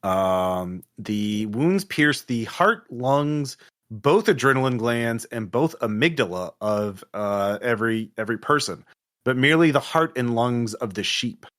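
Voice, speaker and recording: A man running a tabletop horror game, narrating off the cuff and haltingly as he thinks aloud. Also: mid-range voice, animated, fairly bright tone. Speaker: game master.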